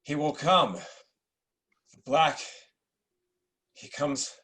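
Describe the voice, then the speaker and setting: A man playing a dying old Roman emperor and father in a drama table read, speaking in halting, weighty declamation. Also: on the high side, fairly bright tone, exaggerated voice. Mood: fevered, desperate.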